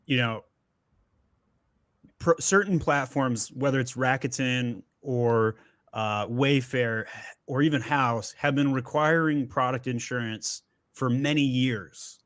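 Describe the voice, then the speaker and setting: A man's voice: mid-range voice, slow pace, a bit bright, no room sound. A man hosting a live Q&A, chatty and jokey, who goes off on tangents and laughs easily. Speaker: host.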